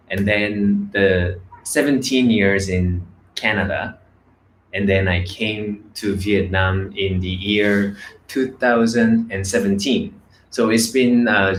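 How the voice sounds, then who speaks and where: A man's voice: lowish voice, a little hiss or hum. A man, telling his story in a casual vlog with many fillers and restarts. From Korea. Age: 30s. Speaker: vlogger. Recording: echoey room with faint ambience.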